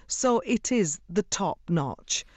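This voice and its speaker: A woman's voice: medium pitch, lively intonation, slow, a little mellow, no echo. A middle-aged woman from Sheffield, an effusive singer chatting in a radio interview and laughing often. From Sheffield, England.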